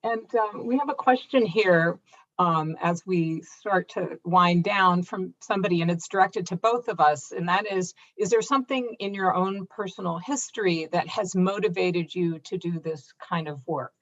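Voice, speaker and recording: A woman's voice: lowish voice, moderate pace, muffled recording. A middle-aged woman from Brazil moderating a panel discussion, speaking thoughtfully with many fillers. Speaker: moderator, journalist. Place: event stage.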